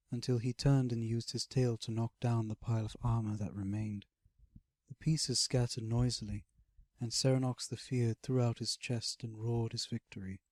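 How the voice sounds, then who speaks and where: Guy reading a fantasy audiobook, dramatic storyteller delivery, medium pitch, no room sound at all. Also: a little mellow, dry recording, clean studio sound. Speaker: audiobook narrator. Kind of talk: scripted fantasy narration.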